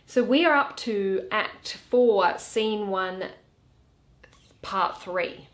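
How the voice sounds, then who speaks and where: A woman presenting and reading a play aloud, with a measured, theatrical delivery. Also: mid-range voice. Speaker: reader and presenter of a Shakespeare series. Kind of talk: dramatic reading of a play with casual asides. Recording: roomy, echoing room sound.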